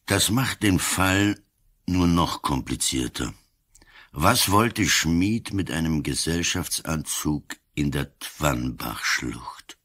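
An older man playing a gruff, cunning old police commissioner in a radio drama, slow and deliberate, weighing each word. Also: lively intonation, fairly bright tone, exaggerated voice. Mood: dry, brusque. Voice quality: husky, very deep.

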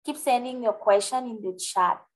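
A woman's voice: fairly high pitch, moderate pace, poor audio. A woman with a French accent, a native French speaker, answering questions in a live webinar over a video call with many fillers. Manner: explanatory, hesitant fillers, friendly. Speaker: webinar presenter. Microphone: webcam on video call.

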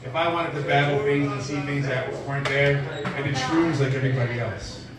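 A man in his forties doing a stand-up set at an open mic, delivering deadpan one-liners with lots of filler pauses. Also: medium pitch. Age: 40s. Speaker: stand-up comedian. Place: small dim bar stage with a live audience. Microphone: handheld mic, filmed from far back in the room. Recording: echoey bar room heard from far off. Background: audience laughter and bar crowd.

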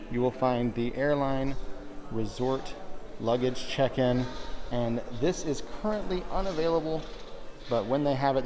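A man narrating a walking tour of a resort in a steady, informative guide manner, filmed on a handheld camera as he walks. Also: medium pitch. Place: outdoors and inside a resort, walking.

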